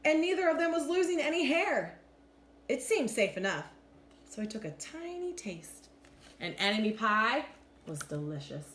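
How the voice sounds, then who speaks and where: A woman reads a children's storybook with very lively, swinging intonation. Her manner is animated and expressive, as in a teacher's storytime. The room is echoey, with faint noise behind her. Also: fairly high pitch, moderate pace. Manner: animated, clearly acts out lines. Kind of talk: expressive storybook read-aloud.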